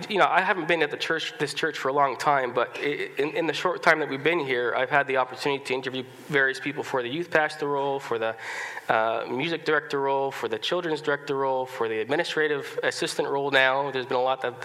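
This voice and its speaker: A male pastor preaching a sermon with a stuffy nose from a cold, talking conversationally with many restarts, coughs and throat-clearing. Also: mid-range voice, bright, forceful tone. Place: church sanctuary. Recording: long reverb of a church hall.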